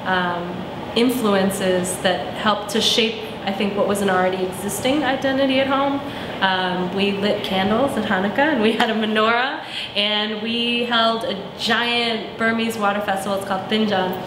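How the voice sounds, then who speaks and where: A thoughtful young woman speaking in an interview, pausing to find her words. Her voice sounds roomy and echoey, with steady background noise in the recording. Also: mid-range voice. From Arizona, USA. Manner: thoughtful, searching for words with many ums. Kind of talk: reflective personal interview.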